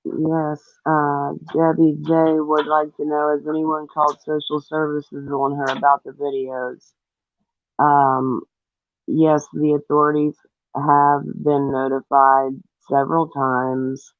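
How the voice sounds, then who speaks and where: A man reading a viewer question and answering it in a measured, explanatory way. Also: fairly high pitch, completely flat delivery, no room sound. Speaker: commentary video co-host answering viewer questions. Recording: thin phone-like lo-fi audio.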